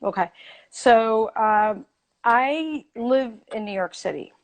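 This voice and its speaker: Woman debater, passionate and insistent, slightly high voice, repeats for emphasis. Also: expressive, takes it slowly. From Ethiopia. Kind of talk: heated debate.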